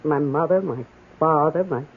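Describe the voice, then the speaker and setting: A woman's voice: low-pitched, moderate pace, slightly rough. Sentimental needy woman, muffled radio. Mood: tipsy, sentimental. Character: Connie, apologetic, sentimental schoolworking single woman, tipsy and needy. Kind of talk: old-time radio drama acting. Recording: muffled, phone-like old radio recording.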